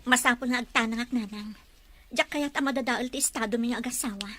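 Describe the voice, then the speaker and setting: An actress as a troubled young wife, bright and high. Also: female voice, fast. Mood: troubled, pleading. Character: troubled young wife and mother, patient but questioning. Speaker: actor. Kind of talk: melodrama dialogue.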